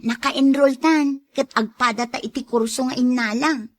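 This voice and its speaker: A friendly young student in a radio drama, played by a woman. Her voice is high and girlish as she chats with a classmate. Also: steady tone, moderate pace, natural voice. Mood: friendly, curious. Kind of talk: radio drama acting in Ilocano.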